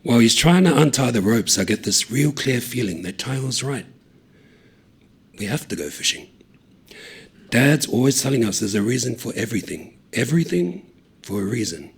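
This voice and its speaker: Male storyteller, husky and gravelly, lively delivery. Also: medium pitch, moderate pace. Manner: lively, acts out the dialogue, shouting some lines and dropping to a hush on others. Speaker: reader of a short story. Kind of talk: dramatic storytelling with character voices.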